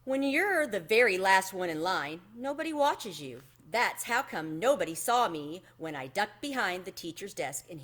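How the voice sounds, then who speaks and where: A woman reading a children's book aloud expressively, in an animated storytelling manner. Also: lowish voice. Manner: animated, acts out the dialogue.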